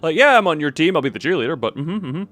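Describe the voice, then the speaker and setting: A man streaming a game, giving casual, rambling live commentary with animated reactions. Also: a little high, fast talker, faint music behind.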